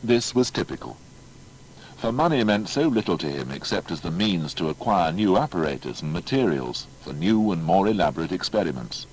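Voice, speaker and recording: Male narrator for audio biography, very low and animated, formal emphatic storytelling, faint noise behind. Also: brisk, rough recording. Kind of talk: scripted documentary biography narration.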